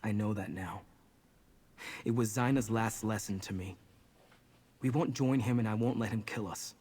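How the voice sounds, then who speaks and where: An actor playing a somber, protective young outcast in a fantasy video game, speaking earnestly and in a measured way. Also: male voice, fairly low pitch, a little mellow, slightly rough. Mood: grave, troubled.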